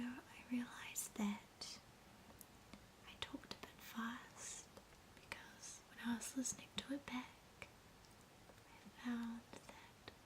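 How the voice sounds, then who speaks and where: A woman with a New Zealand accent, whispering a gentle, soothing ASMR travel narration at an unhurried pace. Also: on the high side.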